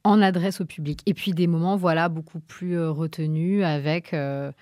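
Female radio guest, French accent, lowish voice in a dry studio, conversational and explanatory with thoughtful pauses. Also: fairly even intonation, darkish tone, no echo. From French-Italian. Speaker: guest, stage performer and singer.

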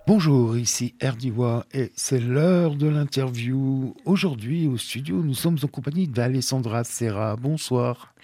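A man's voice: mid-range voice, unhurried, few pauses, warm tone, no echo. A man hosting a studio radio interview, hesitant and often searching for his words.

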